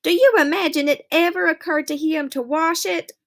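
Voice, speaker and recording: A woman's voice: very high-pitched, fairly even intonation, moderate pace, fairly bright tone, voice pushed up. A woman voicing a fussy, dramatic mother in a storybook reading, speaking emphatically and theatrically. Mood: indignant.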